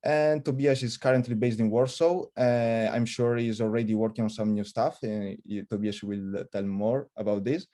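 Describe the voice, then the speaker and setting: A man's voice: fairly low pitch, fairly even intonation, normal speed, few pauses, mellow. A man hosting a casual web interview over a remote call, hesitant with many fillers and frequent laughs. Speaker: interviewer host. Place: virtual studio, remote call.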